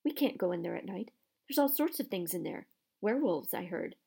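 A woman's voice: on the low side, expressive, brisk, vocal fry, warm tone, husky, exaggerated voice. Audiobook schoolboy female narrator performing: privileged, haughty, easily frightened, a protesting whiner. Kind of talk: audiobook character voice.

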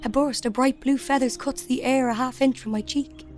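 A woman's voice: sits high, fairly even intonation, moderate pace, a little mellow, close to an everyday voice. An actress playing a young woman delivering a sensual, restless verse monologue in an audio drama. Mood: vivid, intense. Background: faint music.

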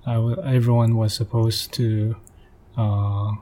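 Slow, low, flat-voiced young man. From Hong Kong. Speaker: interview guest. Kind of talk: casual interview.

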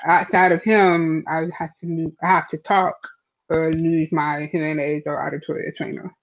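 Woman answering haltingly, flat monotone, slightly high, muffled lo-fi sound. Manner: halting, repeats words. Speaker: guest. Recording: dull lo-fi audio.

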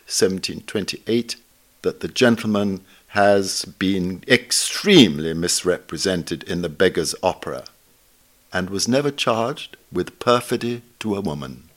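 A man reading a scripted literary text aloud in a measured, bookish way, with deliberate pauses between items. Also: lowish voice. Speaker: reader of a literary essay.

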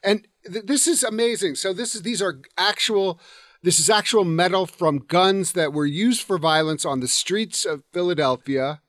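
A male podcast host with a high voice speaks with earnest enthusiasm, often stumbling and repeating words as he goes. The recording is dry and close. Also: moderate pace, fairly bright tone, no room sound. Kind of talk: conversational interview.

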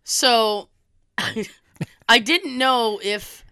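A young woman, hoarse from a cold, telling a story in casual chat and acting out quoted dialogue with a swear now and then. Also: fairly high pitch, extremely slow, pushed and bright, no room sound, clean studio sound.

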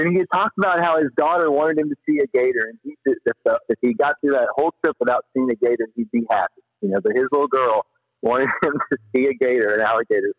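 A man telling stories casually as a podcast guest, in an animated, slangy, rambling way. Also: medium pitch, no room sound, highs cut off. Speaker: podcast guest, paddler and former river guide. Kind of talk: casual storytelling in an interview.

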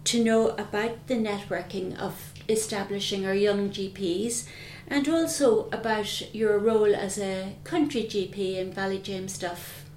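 A woman who is a doctor hosts a podcast, introducing her guest and asking him clear, measured questions. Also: medium pitch, fairly even intonation, darkish tone, roomy sound, slight background noise. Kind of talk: podcast interviewing.

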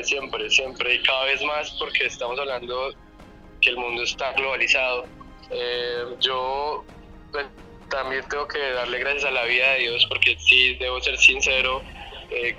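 Earnest Colombian man, flat delivery. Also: mid-range voice, poor audio. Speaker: painter, interview guest. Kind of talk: interview answers.

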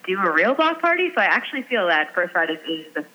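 A woman's voice: mid-range voice, sing-song, fast talker, bright, forceful tone. A woman calling into a radio show as a guest, talking upbeat and enthusiastic over a phone line. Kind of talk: casual chat.

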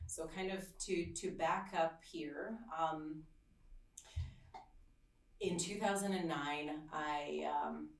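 A woman in her thirties from Slovakia, a swimwear designer, talking in a casual interview with many ums and soft laughs. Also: low-pitched, gravelly, roomy sound, distant mic, rough recording. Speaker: swimwear designer and business owner.

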